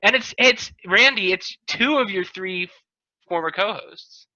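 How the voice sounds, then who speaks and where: A man's voice: high voice, unhurried, bright, forceful tone, no echo, highs cut off. An American man chatting casually as a podcast co-host over a band-limited video call. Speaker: podcast co-host and local chamber of commerce chairman. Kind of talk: casual group banter. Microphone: video call microphone.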